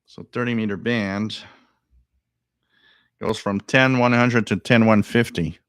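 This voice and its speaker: Male live-stream host, smooth and clear, dry close mic, rambling and musing, gets worked up over odd signals. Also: on the low side, steady tone, slow pace, no echo. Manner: musing, thinks aloud, repeats phrases. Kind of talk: casual live commentary.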